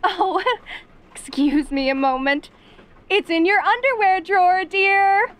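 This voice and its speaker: A perky yandere mom with an extremely high, girlish voice. She speaks very slowly and theatrically, doting and teasing with genteel sweetness in an audio roleplay. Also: a bit bright, full character voice. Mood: coy. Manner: sugary, theatrical, genteel endearments. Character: perky, doting, middle-aged yandere mother, teasingly clingy.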